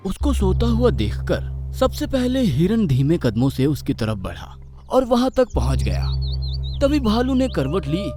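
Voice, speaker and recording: A man narrating a scripted children's story in an expressive storytelling style. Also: high voice, measured pace. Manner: expressive, animated. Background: background music.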